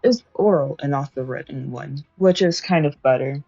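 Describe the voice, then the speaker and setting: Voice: mid-range voice, moderate pace, creaky, warm tone, no echo, highs cut off, faint music behind. A young adult streamer chatting casually while studying, rambling, correcting themselves and laughing often.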